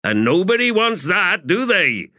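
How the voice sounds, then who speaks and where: A man voicing a bossy, irritable army corporal in an audiobook, barking rough soldierly talk. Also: mid-range voice, big pitch swings, extremely slow, pushed and bright, no echo, studio quality, pitched above the performer's own voice, full character voice. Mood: commanding.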